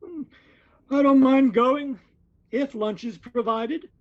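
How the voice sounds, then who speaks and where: Male actor as matter-of-fact narrator-gentleman for audio drama: high voice, slightly dull sound with faint noise. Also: slow pace. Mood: offhand. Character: storyteller narrator and casually indifferent city businessman. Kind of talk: scripted story narration and dialogue.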